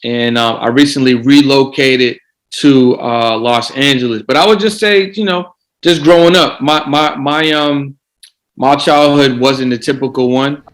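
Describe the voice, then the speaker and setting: A man's voice: mid-range voice, measured pace, fairly bright tone. Man coaching, repeats himself. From Boston. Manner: repeats words while gathering thoughts. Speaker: guest coach. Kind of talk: casual podcast interview.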